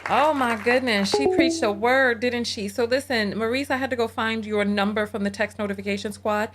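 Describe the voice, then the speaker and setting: A woman hosting a live call-in show, lively and chatty, teasing her callers. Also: fairly high pitch, normal speed, heavy background noise.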